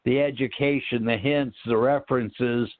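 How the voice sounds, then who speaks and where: A man speaking in a halting, reflective way, searching for words and trailing off as he discusses spiritual ideas, over a narrow phone-like connection. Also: medium pitch, steady tone, no echo. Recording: narrow, tinny phone-line sound.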